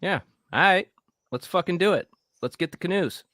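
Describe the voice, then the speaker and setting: A man acts out a brash young camper who pushes his friends toward trouble. The voice sits fairly high for a man and is very dry and close, in the style of a horror table read. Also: animated, normal speed, fairly bright tone, dry recording. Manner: brash, pushy, scheming. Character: cocky young male camper egging friends on.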